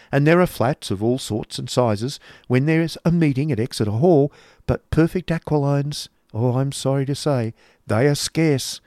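A fussy, talkative middle-aged woman painter, as a man puts her on, her voice lifted high and fluttering as she chatters away. She gushes and exclaims over every little thing. The sound is close and dry. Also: darkish tone, no echo, close to an everyday voice. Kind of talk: audiobook character voice.

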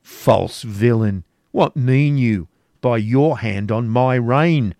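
A man voicing a proud, passionate male knight templar who speaks urgently, dropping to hushed pleading. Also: on the high side, big pitch swings, slow, creaky, slightly rough, dry recording, in a whisper, studio quality, voice pushed up, heavily acted. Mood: angry.

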